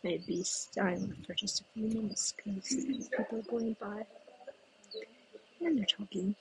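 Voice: mid-range voice, fairly even intonation, gravelly, a bit bright, a little hiss or hum, poor audio. A podcast host who grew up in the United States, chatting in a meandering way outdoors in a breezy spot where people pass by. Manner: chatty, meandering, thinks aloud with pauses. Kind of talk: rambling solo podcast chat.

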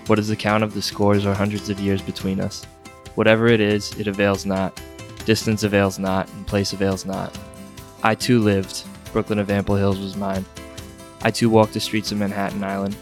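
A man recites poetry in a fairly low voice with a flat, even melody. Soft guitar music plays behind him. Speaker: poetry reader.

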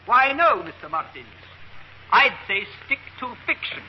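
A high, lively, silky voice, polite on the surface with menace underneath. A sly villain in an old radio play, heard through dull, lo-fi sound. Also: male voice, a little slow, slight background noise, full character voice. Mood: silky menacing. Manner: smooth, veiled menace. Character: sly menacing Romanian man, silky threats. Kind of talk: 1950s radio drama acting.

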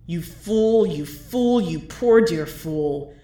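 A woman's voice: on the low side, animated, slow, dark tone, echoey room. A woman voicing an ominous, bitter prophet who gives terse, incantatory warnings in a storybook reading.

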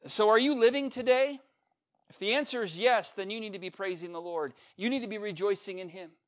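A male pastor preaching a sermon, building up to a repeated refrain and swinging between loud peaks and quiet, low asides. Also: fairly quick. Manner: emphatic preacher with swings in pitch and loudness, repetitive refrain.

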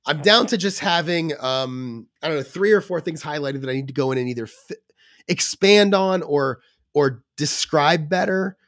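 Podcast guy with a scratchy cold voice, kinda high, rambly, lots of ums, dry close sound. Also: expressive, moderate pace, a bit bright, no echo. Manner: rambling, lots of fillers and restarts. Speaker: podcast co-host. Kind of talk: casual chat.

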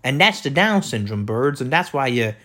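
A man with a loud, projecting voice rambling through a comedic solo monologue as a podcast host, repeating himself and tossing out rhetorical questions. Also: medium pitch, very animated, moderate pace. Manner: repetitive, riffing with catchphrases and rhetorical questions. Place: indoor room beside an open window.

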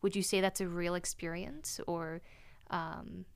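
A woman's voice: lowish voice, little pitch movement, unhurried, clean tone, no room sound. An eighteen-year-old woman from North Carolina with an American accent, chatting and asking questions on a podcast as a college student. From North Carolina, United States. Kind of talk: casual podcast conversation.

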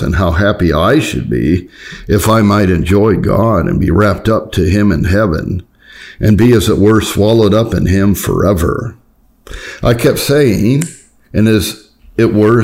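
A man narrating an old devotional text as a scripted audiobook reading, in a measured and formal way, phrase by phrase. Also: deep voice, darkish tone. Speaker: audiobook narrator reading historical Puritan writings.

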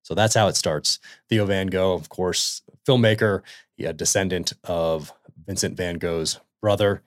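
A man hosting a podcast, reading an earnest introduction and then asking halting questions full of fillers. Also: deep voice, steady tone, no echo, studio quality. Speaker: podcast host.

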